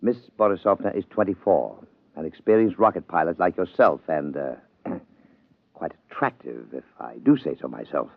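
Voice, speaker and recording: An actor playing a formal, measured head of state in a 1950s radio drama. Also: male voice, low voice, expressive, gravelly, no echo, phone-quality sound, heavily acted. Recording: dry telephone-thin radio broadcast.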